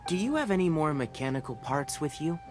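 An actor voicing a young fox-eared forest ranger who speaks in a precise, analytical, composed way. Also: male voice, on the high side, sing-song, brisk. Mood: calm. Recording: game audio with faint music.

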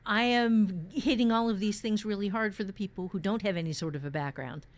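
A woman co-hosting a podcast, giving casual writing advice in a halting way, repeating words for emphasis. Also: medium pitch. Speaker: podcast host, experienced fiction writer. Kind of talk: casual advice discussion about fiction writing.

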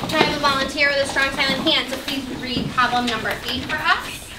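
High-voiced woman teacher in a roomy classroom. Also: steady tone, brisk, far from the mic. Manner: directive, questioning, projecting to a class. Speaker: math teacher. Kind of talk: classroom instruction to children. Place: indoor school classroom with students. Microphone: distant camera microphone. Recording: roomy, noisy classroom, picked up from afar.

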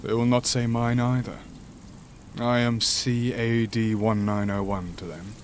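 An actor playing an imprisoned alien monster, gentle and philosophical, speaking in a formal, measured way for an audio drama. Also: male voice, mid-range voice, little pitch movement, a little hiss or hum, close to an everyday voice. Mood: solemn. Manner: formal, measured, stilted speech.

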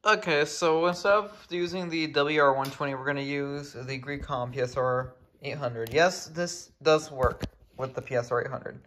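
Higher-pitched man, noisy home recording. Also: fairly even intonation, normal speed, hardly any pauses. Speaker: video creator demonstrating radios. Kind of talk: casual hands-on tutorial.

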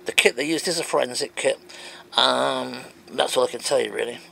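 A man's voice: mid-range voice, moderate pace, vocal fry, a little hiss or hum, poor audio. A man reading out comments and answering them in an emphatic, wondering way. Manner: animated, wondering aloud, emphatic.

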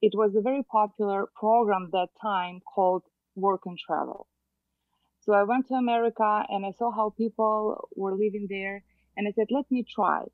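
A woman's voice: mid-range voice, dry recording. Realtor guest, a woman in her thirties with a Russian accent, over a thin phone-like call line. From Moldova. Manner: chatty, hesitant, many fillers. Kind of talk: casual interview. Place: home room, indoors. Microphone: video call. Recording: dry tinny video call audio.

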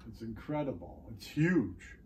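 A man's voice: medium pitch, big pitch swings, a little slow, a little mellow, slight background noise. An older man chatting casually at home as he shows off his collectibles. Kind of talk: casual chat, showing off keepsakes. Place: living room and kitchen at home.

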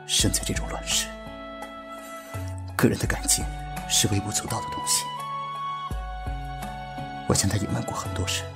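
A man's voice: lowish voice, level tone, moderate pace, long pauses, loud music behind the voice, close to an everyday voice. A man playing an earnest, resolute young soldier with heartfelt, solemn delivery in a radio drama. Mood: solemn, sorrowful. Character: earnest young male soldier, secret communist, loving and resolute. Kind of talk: Chinese fan radio drama acting.

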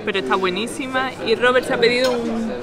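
A woman's voice: a little high, fast. A woman in her thirties with a Spain Spanish accent, cheerfully explaining places and food as a travel vlogger filming herself. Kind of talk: casual travel narration to camera. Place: outdoors in old town streets and shops. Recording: background music and crowd chatter.

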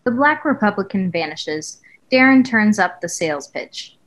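A woman's voice: medium pitch, animated, normal speed, a bit bright. A woman reading screenplay stage directions as scripted narration.

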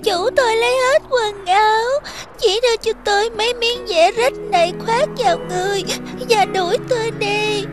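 A woman's voice: very high voice, over loud music. A woman voicing a poor young shepherd girl who is crying and telling her sad story. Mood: tearful. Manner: sad, halting.

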